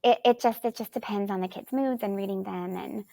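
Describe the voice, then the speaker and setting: A woman's voice: mid-range voice, fairly quick, gravelly, darkish tone, dry recording. A woman from Alaska, a third-grade teacher and guest, thinking aloud in a rambling way with many restarts and "you know"s. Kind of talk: conversational interview answers.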